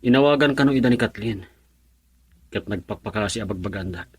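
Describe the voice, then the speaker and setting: A man's voice: lowish voice, fairly quick, husky, no echo. A man playing an earnest, troubled young husband in a radio drama. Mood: upset.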